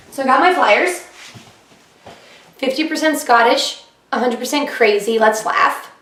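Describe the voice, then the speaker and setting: A woman comedian chatting casually in a vlog about her shows, her voice a little hoarse and often clearing her throat. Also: high-pitched, takes it slowly, a bit bright, slight background noise. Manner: chatty and upbeat, with fillers. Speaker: comedian vlogging her diary. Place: living room of a flat, indoors. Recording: echoey room, heard from a distance.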